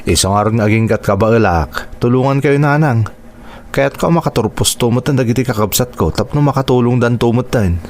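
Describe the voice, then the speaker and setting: An actor with an Ilocano accent playing an earnest, burdened married son in a radio drama, with noise behind him. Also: male voice, mid-range voice, a little fast, darkish tone, lightly acted. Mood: reassuring. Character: dutiful, burdened married son supporting his poor family. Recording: clear background noise.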